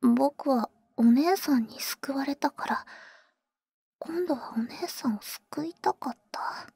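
Woman acting breathy, high-voiced big-sister vampire. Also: warm tone, dry recording, clean studio sound, full character voice. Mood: flustered, scolding. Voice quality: breathy, whispered. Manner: halting, murmured, blunt boyish phrasing. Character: protective, tsundere older-sister female vampire, tenderly devoted. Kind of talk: Japanese ASMR roleplay, intimate whispering. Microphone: very close, dry ASMR microphone.

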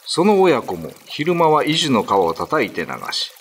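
A man's voice: on the high side, very animated, moderate pace, breathy edge. A man narrating a ghost story in a dramatic, scripted radio drama reading.

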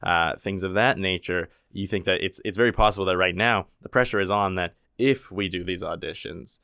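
A man's voice: sits low, brisk, creaky, pushed and bright, no room sound, studio quality. A man co-hosting a podcast conversation, talking loosely with lots of fillers. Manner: chatty, loose phrasing with many fillers. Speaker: podcast co-host. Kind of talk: casual conversation.

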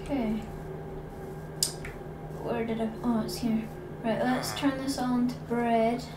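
A woman with a fairly high voice talks slowly through her game, thinking aloud as she plays. She sits away from the mic in an echoey room, and loud noise runs under her voice. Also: flat delivery, recorded from a distance. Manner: chatty, thinking aloud while playing. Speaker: gaming channel host. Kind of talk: Minecraft let's play commentary.

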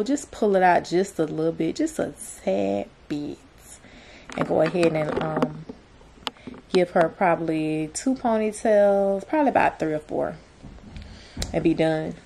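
A young woman chatting casually to camera and to her child as a vlogger, in informal, slangy, drawn-out phrases. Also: fairly low pitch, gravelly, a little mellow, a little hiss or hum. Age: young adult. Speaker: vlogger, mother. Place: small plain room indoors.

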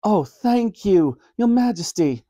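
A man's voice: high-pitched, animated, slow pace, dark tone, pitched above the performer's own voice, heavily acted. A man doing a fussy old granny. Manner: genteel, old-fashioned exclamations.

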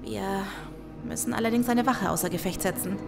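An actress playing a careful, caring survivor in an audio drama, reasoning and reassuring. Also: female voice, medium pitch, normal speed, vocal fry, music in the background, lightly acted. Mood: hushed, firm. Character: careful, caring female survivor tending wounded. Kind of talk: German audio drama acting.

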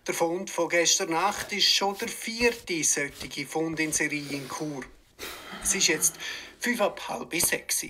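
An actress playing a radio news reader giving a formal bulletin. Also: female voice, low-pitched, takes it slowly, pushed and bright, slight background noise, exaggerated voice.

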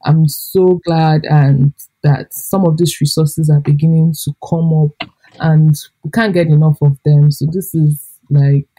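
A Nigerian woman with a somewhat low, husky voice, talking as a podcast co-host. Her tone is mellow and dark rather than bright, and she chats expressively, laughing easily. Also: measured pace, rough recording. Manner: chatty and expressive, laughs often. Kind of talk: casual book review chat.

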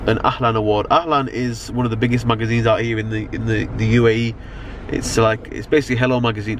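Young British man vlogging in a noisy car. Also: medium pitch. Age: young adult. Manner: rambling, slangy, frequent "you know what I mean". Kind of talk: casual chat to camera. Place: inside a car at night. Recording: car ambience, clear background noise. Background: car and outdoor urban noise.

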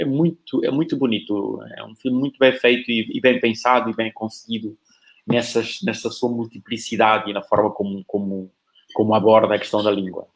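A man's voice: mid-range voice, rough recording. A man with a Mozambican Portuguese accent, a guest speaking thoughtfully on a live video panel, often repeating words as he searches for them. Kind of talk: reflective live panel talk. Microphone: video call.

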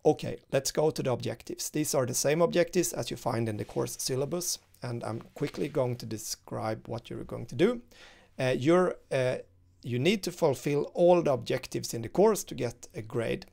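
A man's voice: mid-range voice, moderate pace, gravelly, a little mellow. A man in his thirties with a Swedish accent, explaining a university course in a recorded screen-share walkthrough, talking informally with lots of fillers and restarts. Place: indoor room, screen-share webcam inset.

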